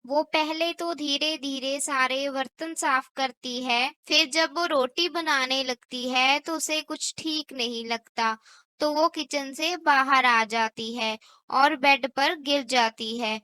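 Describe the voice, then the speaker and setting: A female storyteller narrates a cartoon moral tale from a script. Her voice is very high and bright, taken at a slow pace. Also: fairly even intonation, dry recording.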